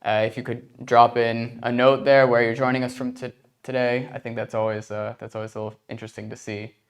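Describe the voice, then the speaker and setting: A man's voice: mid-range voice, little pitch movement, fairly quick. A man from the United States with an American accent, hosting an informal webinar in a conversational, hesitant way. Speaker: webinar host.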